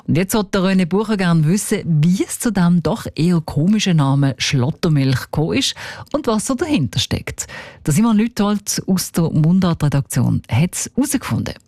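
A radio host with a Swiss German accent, introducing a segment in a brisk, scripted announcing manner. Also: medium pitch, darkish tone, no room sound, studio quality. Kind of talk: radio presenting.

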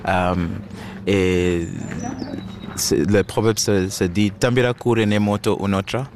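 A man's voice: lowish voice, steady tone, takes it slowly, background noise. A man, a Shona speaker, answering interview questions hesitantly and searching for his words in French. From Zimbabwe. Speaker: musician guest.

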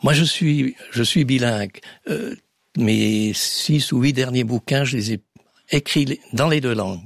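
A man speaking as an author guest in an interview, thoughtful and hesitant, pausing with fillers. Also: mid-range voice, creaky, dry recording, studio quality. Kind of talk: conversational interview.

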